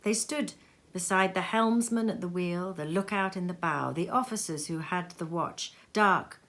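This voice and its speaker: A woman reading literary fiction aloud as a storyteller, with an expressive, theatrical delivery that moves between hushed and emphatic. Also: lowish voice, unhurried. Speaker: storybook reader. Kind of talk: dramatic literary narration, scripted. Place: sitting room at home.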